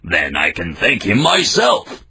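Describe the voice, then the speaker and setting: A proud, curious storybook king who asks questions in a stately way and speaks slowly, as a male narrator puts him on. His delivery is lively and rises and falls. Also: on the low side, gravelly, noisy background, full character voice.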